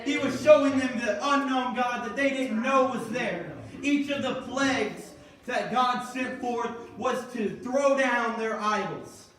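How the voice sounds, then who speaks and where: High-voiced male preacher in an echoey church. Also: a bit bright. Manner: builds from measured reading to emphatic calls. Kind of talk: sermon. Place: church sanctuary. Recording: echoey church, mic far off, congregation responding.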